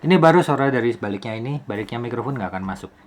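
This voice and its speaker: A man's voice: mid-range voice, expressive, rapid-fire. This is a man hosting a casual how-to video. He talks in an informal, explanatory way. He often poses rhetorical questions and repeats phrases to drive a point home. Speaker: YouTube host, film soundman. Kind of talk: casual tutorial.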